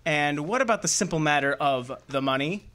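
A man plays a wisecracking detective's sidekick in an old-time radio play. He swings up and down in a lively, fairly high voice, sardonic, slangy and exasperated. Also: brisk. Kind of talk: old-time radio drama acting and narration.

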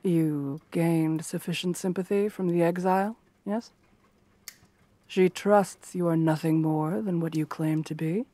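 A woman playing a stern, scheming royal guard captain in a fantasy roleplay, formal, measured and commanding. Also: on the low side, fairly even intonation, mellow, dry recording, natural voice. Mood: cold, commanding. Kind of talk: scripted fantasy ASMR roleplay.

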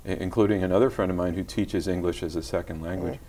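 A middle-aged man speaking gently as a guest in a TV studio interview, with many small hesitations, on a lapel mic. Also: sits low, steady tone, quick pace. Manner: gentle, soft-spoken storytelling with hesitations. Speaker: guest musician. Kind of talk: casual interview talk. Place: tv studio set.

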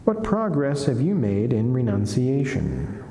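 A man's voice: medium pitch, wildly swooping pitch, dark tone, slight background noise. Echoey middle-aged man reading solemnly. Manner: measured, deliberate, formal. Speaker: friar reading a saint's life and reflection. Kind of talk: solemn devotional reading from a book. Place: wood-panelled chapel, indoors. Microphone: lectern gooseneck microphone. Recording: echoey chapel with long reverb.

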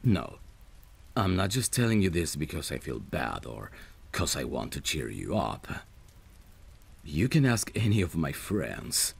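A man playing a protective, lovesick friend in a romantic ASMR roleplay, speaking tenderly and intimately in a husky, gravelly voice. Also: rumbling low, heavily acted. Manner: tender, soothing, unhurried. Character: protective lovesick male friend, gentle and devoted.

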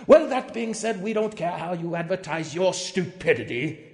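A man's voice: sits high, expressive, moderate pace. A man voicing a pompous, outraged company executive in a theatrical dramatic reading of threatening emails. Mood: outraged bellowing. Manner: pompous, overwrought, swinging from hushed to shouting. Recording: long echoing hall reverb.

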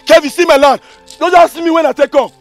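A man's voice: extremely high pitch, steady tone, quick pace, faint music behind. A man with a Nigerian accent playing an aggrieved, pleading emigrant cheated out of land in a comedy skit, plaintively repeating phrases. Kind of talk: comedy skit acting in Pidgin English.

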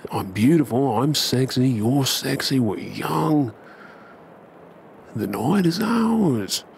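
An Australian man performing an ASMR bedtime roleplay as an affectionate, cheeky young husband and dad, coaxing and hushed. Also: medium pitch, lively intonation, slow pace, creaky, a little hiss or hum. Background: ambient sound effects.

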